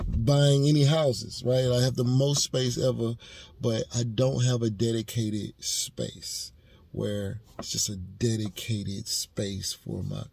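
Man, 30s, car vlogger, mid pitch, some fry, casual unscripted chat to camera. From United States. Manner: relaxed, thinking aloud with fillers and restarts. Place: parked car, seated inside. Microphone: camera on dashboard, facing him.

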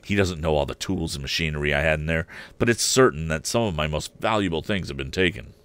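A man's voice: rumbling low, fast talker, a bit bright, clear, resonant tone, dry recording. A man voicing an agitated, impatient elderly inventor and father in a storybook reading. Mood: worried, agitated. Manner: agitated, insistent.

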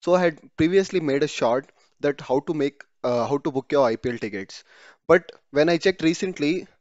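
A man's voice: a little high, expressive, normal speed, darkish tone, dry recording. A man narrating a screen-recorded tutorial in a conversational way, often repeating words and correcting himself mid-word. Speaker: YouTube tutorial host. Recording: dry, slightly dull home voice-over.

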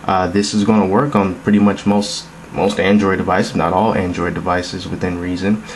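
A man's voice: low-pitched, little pitch movement, moderate pace. A man casually narrating a tech demo in an informal, explanatory, conversational way, recorded indoors. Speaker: app demonstrator. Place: indoors, phone demo on a wooden table. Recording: noticeable background noise.

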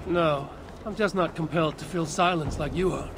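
An actor playing a proud, skeptical Viking clan leader in a video game, speaking in a sardonic, curt and dismissive manner. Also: male voice, fairly high pitch, normal speed, noisy background, natural voice. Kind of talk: video game cutscene acting.

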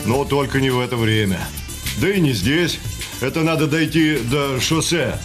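An actor playing a practical, plain-spoken garage mechanic in a radio drama, matter-of-fact and reassuring. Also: male voice, mid-range voice, normal speed, over music, lightly acted.

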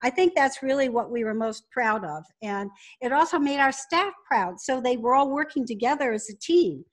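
A woman with a notably high voice speaks as an interview guest. She explains things conversationally, like a business founder recounting how the work was done. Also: animated, moderate pace.

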